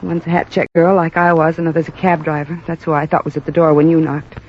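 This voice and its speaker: A woman's voice: low voice, little pitch movement, fast. An actress playing a proud, desperate young former hat check girl in a 1950s radio drama, earnest and pleading. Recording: muffled old radio recording.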